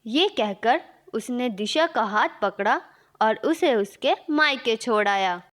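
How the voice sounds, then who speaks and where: A woman reads out a scripted story. Her voice sits a little high and she moves slowly through each sentence as a storyteller. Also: lively intonation, heavy background noise.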